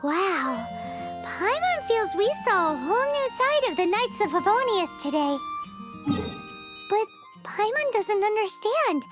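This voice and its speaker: A very high, bouncy little voice of a chirpy floating fairy companion, swinging up and down as she talks and calling herself by her own name. Game music plays behind her. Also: sing-song, unhurried, full character voice. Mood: puzzled. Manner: talks about herself in third person. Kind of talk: video game voice acting.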